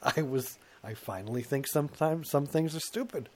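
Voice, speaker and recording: A man's voice: a little high, moderate pace. A middle-aged man co-hosting a talk show in casual comic chat, rambling and self-mocking, slipping into put-on voices to quote himself.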